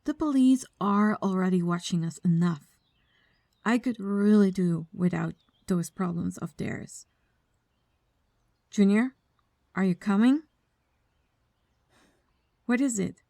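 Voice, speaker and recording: A woman playing an exasperated, bossy mafia boss in an ASMR audio roleplay, soft-spoken and unhurried. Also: mid-range voice, creaky, no room sound, clean studio sound.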